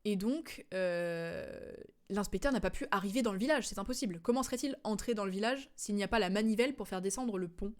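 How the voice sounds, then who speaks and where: A woman's voice: medium pitch, fairly quick. A woman streamer giving casual game commentary, explaining the story in a hesitant, digressive way.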